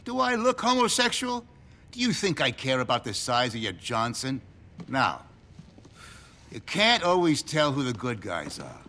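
Smug middle aged american spook boss from a video game, sarcastic, bossy, really animated pitch. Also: male voice, a little slow, heavily acted. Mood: sardonic, commanding. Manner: sardonic, commanding, clipped. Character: cynical, smug middle-aged government agent handler. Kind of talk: video game cutscene acting.

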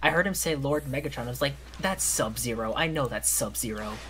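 A man's voice: medium pitch, lively intonation, fairly quick. Distant-sounding man chatting, faint noise. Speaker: co-commentator on a gaming video. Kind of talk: casual gameplay chat.

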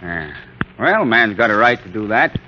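A man's voice: lowish voice, big pitch swings, moderate pace. A man playing an earnest, neighbourly colonial townsman in a 1940s radio drama, folksy and laconic. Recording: muffled, noisy 1940s radio recording.

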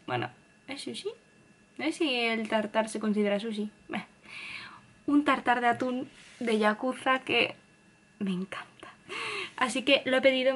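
A woman's voice: a little high, a bit bright. A young woman with a Castilian Spanish accent chatting casually and effusively to camera in a small apartment. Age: young adult. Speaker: lifestyle vlogger. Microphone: handheld camera or camera on desk, close range.

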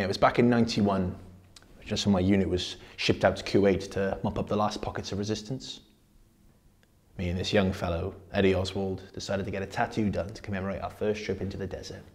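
An actor playing a grim, haunted army veteran telling war stories in a husky, plain-spoken, intimate dramatic monologue. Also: male voice, lowish voice, darkish tone, lightly acted.

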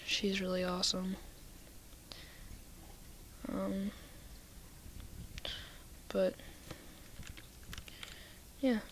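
A woman casually walking through her game inventory in a soft, half-whispered voice, a little nasal from a cold, recorded on a computer mic. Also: medium pitch, flat delivery, very slow, pauses often, a little hiss or hum. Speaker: gaming video maker.

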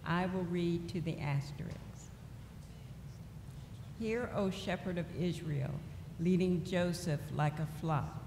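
A middle-aged woman reading scripture aloud at a church lectern in a slow, deliberate way. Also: lowish voice, gravelly. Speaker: lay reader. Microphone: lectern microphone. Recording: echoey church hall with faint noise.

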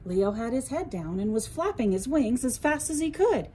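A woman's voice: a little high, sing-song, moderate pace, mellow, a little hiss or hum. A woman narrating a children's bedtime story expressively, as a librarian would at storytime.

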